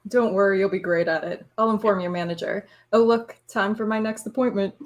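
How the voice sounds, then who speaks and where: A woman's voice: medium pitch, fairly even intonation, brisk, close to an everyday voice. A woman voicing a smug, lecherous male boss with a pompous, dismissive manner in an amateur dramatic reading. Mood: dismissive, breezy.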